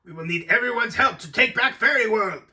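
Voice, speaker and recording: An actor playing a mighty, pompous fairy commander in a cartoon, booming out orders. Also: male voice, lively intonation, a little fast, bright, forceful tone, far from the mic, exaggerated voice. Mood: commanding. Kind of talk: cartoon hero acting.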